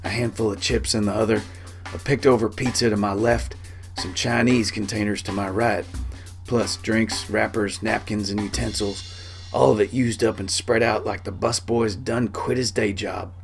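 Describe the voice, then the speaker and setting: A man's voice: fairly low pitch, steady tone, moderate pace, gravelly, faint music behind. A man reading a first-person novel as a folksy cowboy storyteller, in rustic dialect. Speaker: author reading his own novel.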